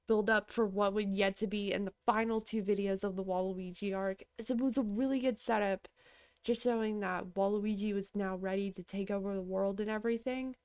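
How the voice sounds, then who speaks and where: A woman hosting a reaction video. She chats casually, keeps saying "I gotta say," and bursts into loud gasps and cries of alarm. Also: medium pitch. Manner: chatty, often repeats "I gotta say". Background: music from the clip she is watching.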